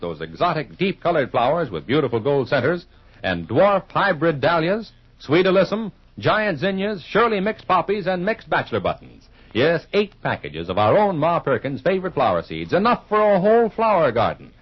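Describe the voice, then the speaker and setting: A man working as a radio announcer, delivering an emphatic 1950s commercial pitch in a husky voice. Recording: muffled 1950s radio recording.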